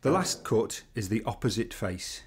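A man giving measured, step-by-step woodworking instruction as a scripted studio voice-over. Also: fairly low pitch, very animated, a little hiss or hum. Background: music.

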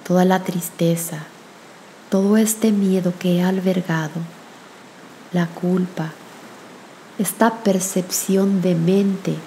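A woman with a slightly low voice guides a meditation very slowly. Her delivery is gentle and soothing, leaving long pauses between phrases. A clear, steady hiss sits behind her voice. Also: low-quality recording. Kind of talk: guided meditation with spoken prayer.

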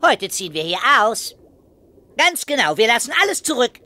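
A boyish cartoon sponge who loves pranks. The nasal, squeaky voice is very high and sharp, bouncing around excitably and full of cheek. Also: male voice, moderate pace, heavily acted. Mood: gleeful teasing. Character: giggly, boyish, prankish male sea sponge. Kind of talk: German cartoon audio drama acting.

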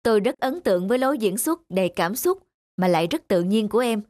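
A man voicing a courteous adult in a cartoon, speaking politely and in a measured way. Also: high-pitched, expressive, a little mellow, no room sound, studio quality. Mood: complimentary. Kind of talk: dubbed cartoon dialogue.

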